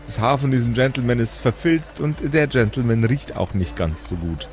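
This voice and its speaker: A man narrating an improvised tabletop audio drama as game master, deliberate, repeating and rephrasing as he goes. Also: mid-range voice, animated, a little mellow, faint music behind.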